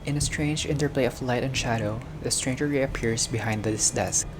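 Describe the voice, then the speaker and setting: A man's voice: medium pitch, moderate pace, noisy background. A man doing a game playthrough, reading narration aloud and dropping in casual reactions. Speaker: gamer playing a visual novel.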